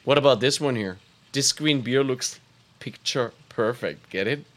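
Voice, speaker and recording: A man's voice: medium pitch, measured pace, a bit bright. A man co-hosting a casual live stream, goofy and chatty, throwing out puns and hyping them up to the audience. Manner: goofy, hypes up his own jokes, lots of fillers. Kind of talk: casual banter, telling puns.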